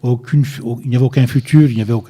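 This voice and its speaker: An older man from Egypt speaks into a studio microphone in a very dark, mellow voice. He halts and repeats words as he searches for them, then presses his point firmly. He sits a little back from the mic at times. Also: medium pitch, steady tone, rapid-fire. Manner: hesitant, repeats words while searching, firm and insistent. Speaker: guest. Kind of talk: radio interview. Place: radio studio. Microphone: studio microphone, at times too far away.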